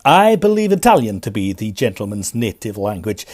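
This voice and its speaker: An actor playing a polite, discreet railway conductor in a Victorian audio farce, deferential and a little hesitant. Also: male voice, lowish voice, very animated, moderate pace. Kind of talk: period farce audio drama acting.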